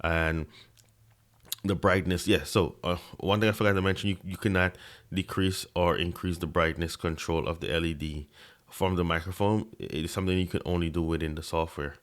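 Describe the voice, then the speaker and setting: Guy with a really deep low voice reviewing a mic at his desk, stutters and restarts a lot. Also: steady tone, normal speed. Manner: stutters, repeats and breaks off words. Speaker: tech reviewer. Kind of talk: casual product review. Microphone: desk microphone under review.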